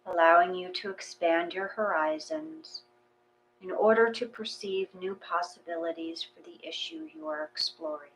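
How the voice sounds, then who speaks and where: A woman reading a guided meditation in a gentle, unhurried, soothing way, with pauses between phrases. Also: fairly low pitch, animated, vocal fry, a little hiss or hum, poor audio.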